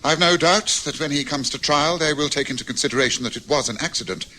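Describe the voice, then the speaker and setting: A man playing an astute detective in a radio drama, speaking in a measured, reflective, precise manner. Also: fairly high pitch, sharp tone, slight background noise. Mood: reflective, regretful. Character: astute, composed male detective summing up a case. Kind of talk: old-time radio drama acting.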